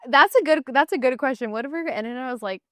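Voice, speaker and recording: A woman's voice: high-pitched, fast, no room sound, clean studio sound. A woman hosting a casual interview, asking questions in a chatty, informal way. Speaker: interviewer, host.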